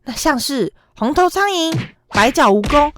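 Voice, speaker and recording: A young woman narrating a scripted children's story in an expressive, dramatic way. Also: high-pitched, moderate pace. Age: young adult.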